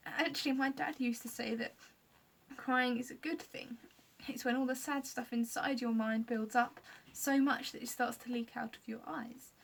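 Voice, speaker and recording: A woman's voice: a little high, steady tone, moderate pace, gravelly, far from the mic. A woman voicing a young girl in a children's storybook, earnest and pleading. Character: young girl, upset but sensible.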